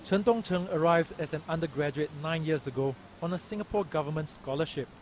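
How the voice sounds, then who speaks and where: A man reading a documentary news report in a measured, even voice. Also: fairly high pitch, slight background noise. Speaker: news narrator. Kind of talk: scripted documentary narration. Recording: voice-over with music behind.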